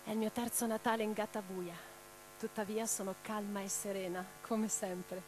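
A woman playing an imprisoned, defiant revolutionary in a radio theatre monologue, reading her letters with theatrical, deliberate phrasing. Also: mid-range voice, steady tone, close to an everyday voice. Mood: sombre, tender. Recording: clearly noisy track with mains hum.

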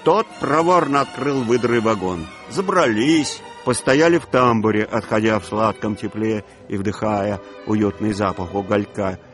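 A man's voice: lowish voice, a little slow, hardly any pauses. Male radio-play narrator over music. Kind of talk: dramatised literary narration.

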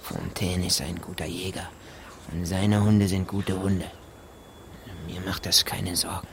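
An actor playing a gruff, evasive rural gamekeeper in a German radio drama, speaking in clipped, offhand colloquial phrases. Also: male voice, low-pitched, little pitch movement, measured pace, slight background noise. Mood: hesitant, offhand.

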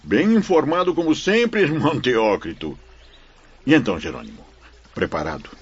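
An actor playing a good-humoured, humble priest spirit in a radio drama, jovial yet firm. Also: male voice, medium pitch, big pitch swings, moderate pace, husky. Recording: dull lo-fi recording.

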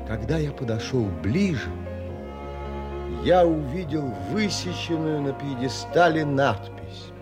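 A man playing a thoughtful traveller who recounts his wonder in measured, polite audio drama narration. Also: fairly high pitch. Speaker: narrator and lead actor in an audio play.

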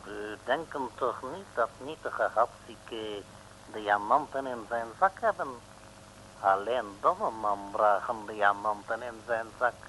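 A sly crook from a vintage radio comedy, speaking slowly in a mock Chinese accent. He is exaggeratedly humble and flowery, with a bright, cutting tone on a slightly noisy old recording. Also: on the low side. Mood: feigned defeat. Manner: self-abasing, flowery, broken grammar. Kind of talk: 1950s-60s Dutch radio comedy acting.

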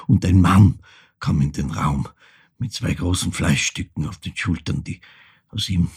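A haunted older man with an Austrian accent, delivering a dramatic literary monologue. The voice is low and very husky, with a gravelly creak. He speaks haltingly and intensely, as if confiding painful memories. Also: darkish tone, full character voice. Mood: agitated, awed. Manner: halting, intense, dropping into near-whispers. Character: haunted older man recalling his fatherless childhood. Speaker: actor performing a monologue.

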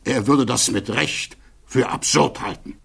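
An actor playing an earnest defense attorney in a German radio play, delivering a formal, measured plea with emphatic rhetoric and deliberate pauses. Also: male voice, medium pitch, creaky, a bit bright, slightly rough, full character voice. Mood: indignant. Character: defense attorney pleading earnestly before a security hearing.

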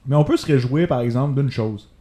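A man's voice: mid-range voice, fast, warm tone, reverberant. A man from Quebec City with a Québécois accent, chatting easily on a podcast. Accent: Québécois French. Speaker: podcast co-host. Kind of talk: casual chat.

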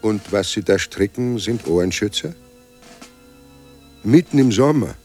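An actor in a German radio drama playing a sardonic, melancholy writer who coaxes and wheedles with irony. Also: male voice, on the low side, animated, a little slow, a little mellow, faint music behind. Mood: ironic, deferential. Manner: coaxing, ironic, wheedling. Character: sardonic, pleading man, a hard-drinking melancholy writer.

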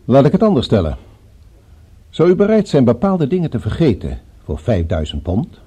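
A cultured, dangerous gentleman villain of radio drama. His voice is very soft-edged and dark, slightly husky, with musical, lively intonation. He speaks formally and threatens with perfect politeness. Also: medium pitch, normal speed. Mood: polite, musing threat. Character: courteous, menacing male villain with a revolver, cultured.

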